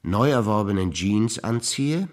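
An older man with a German accent recites his memories in a stage performance, his voice sitting low. He delivers each line in a dry, measured way, trading short recollections with a partner. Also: animated, a little mellow. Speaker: stage actor.

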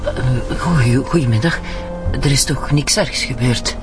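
A woman's voice: rumbling low, unhurried, over music. A woman acting in a Flemish radio drama as an older retired teacher, polite, anxious and hesitant.

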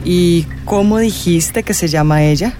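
An actress with a Colombian accent playing a mysterious, self-assured tarot reader in a radio drama, theatrical and sardonic. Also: female voice, fairly low pitch, a little slow, over loud music, close to an everyday voice. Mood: probing, amused.

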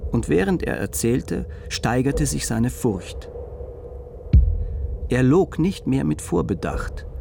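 Gravelly man reading a story. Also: medium pitch, background noise. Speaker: narrator in a radio play. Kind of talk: scripted literary narration.

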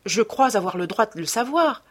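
A woman's voice: mid-range voice, lively intonation, normal speed, pitched above the performer's own voice, natural voice. A woman voicing a secretive, angry young man in a novel reading, with insistent, emphatic protests.

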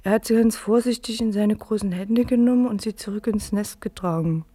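An actress playing a fragile, weary woman in her thirties in a German radio drama, halting and stammering, often breathy. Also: medium pitch, mellow, natural voice. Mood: hesitant, wistful. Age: 30s. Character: fragile, weary, withdrawn woman of thirty-two working night shifts.

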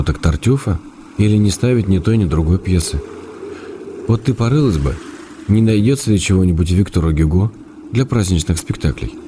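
A man's voice: low-pitched, noisy background. An actor playing an ailing middle-aged writer, an ironic and tender husband reading his letters in a radio drama. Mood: wry, opinionated. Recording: music playing behind the voice.

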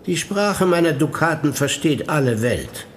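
An actor playing a bored, haughty German prince in a radio play, dry, dismissive and mocking. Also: male voice, on the high side, animated, measured pace. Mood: bored disdain. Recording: studio drama with music behind.

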